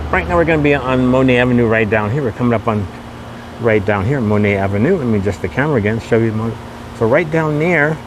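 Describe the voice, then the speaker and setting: A man hosting a casual walking tour outdoors, talking in repetitive promotional patter. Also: mid-range voice. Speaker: podcast host and walking tour guide. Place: outdoors on a shopping mall street. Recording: noisy outdoor street with passing cars.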